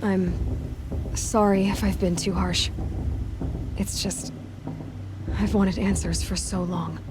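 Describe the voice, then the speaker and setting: An actress playing a determined young outcast hunter who asks direct, probing questions. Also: female voice, mid-range voice, level tone, takes it slowly, close to an everyday voice. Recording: game audio with ambient noise.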